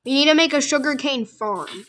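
Excitable high-voiced young gamer girl. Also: moderate pace, a little hiss or hum. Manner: excitable, insistent, drops to murmurs while playing. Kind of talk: casual let's play chat.

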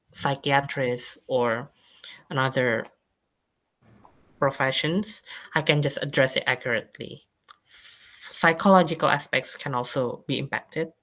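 A young woman presenting a college project slowly and carefully over a video call. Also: rumbling low, fairly bright tone, rough recording. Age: young adult. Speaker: student presenter.

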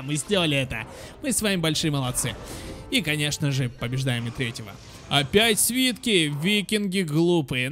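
High-voiced young man, chatty gamer. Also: fairly bright tone. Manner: chatty, exclamatory, addresses viewers as friends. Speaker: gaming channel host. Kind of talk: casual game commentary for children.

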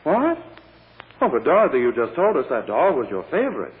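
An actor playing a falsely sweet, needling prosecutor questioning a child witness in a vintage radio drama, turning impatient when contradicted. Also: male voice, medium pitch, huge pitch swings, fairly quick. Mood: flustered, impatient. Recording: muffled old radio recording, long reverb.

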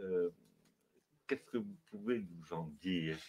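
A man's voice: low-pitched, takes it slowly, a little mellow, husky. A man, the host, prompting guests with short questions and nods. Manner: brief affirmations, prompting questions. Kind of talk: panel moderation.

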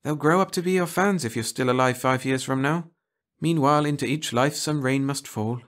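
A man's voice: mid-range voice, normal speed, pitched above the performer's own voice, natural voice. A man voicing a tough, sardonic, unimpressed woman agent with a dry, scolding manner in a story.

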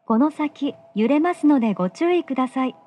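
A woman's voice: high-pitched, lively intonation, normal speed. A woman reading scripted train announcements on a slightly muffled recording.

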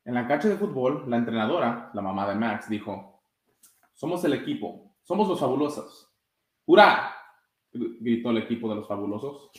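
A man's voice: medium pitch, expressive, moderate pace. Young man reading aloud, echoey room. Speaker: soccer goalkeeper reading a children's book. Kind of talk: read-aloud storytime. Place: small room with blinds, indoors. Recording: roomy indoor webcam sound.

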